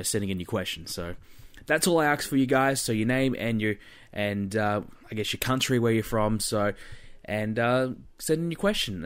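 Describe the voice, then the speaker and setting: A man hosts a podcast on his own in a fairly low voice. He talks casually and directly to his listeners, with an informal, chatty and blunt way of putting things. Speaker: podcast host. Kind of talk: casual solo podcast talk.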